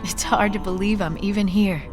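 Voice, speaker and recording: A woman's voice: mid-range voice, steady tone, normal speed, music in the background, lightly acted. A woman playing an idealistic, earnest scientist in a video game cutscene, speaking articulately. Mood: wry, curious.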